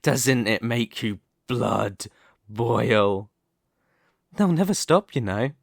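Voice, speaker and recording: A man's voice: mid-range voice, expressive, slow pace, vocal fry, no echo, exaggerated voice. A man voicing a dominant, smug Demon King in an ASMR roleplay, taunting and persuasive, with a theatrical, mocking delivery close to the microphone. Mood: goading, persuasive.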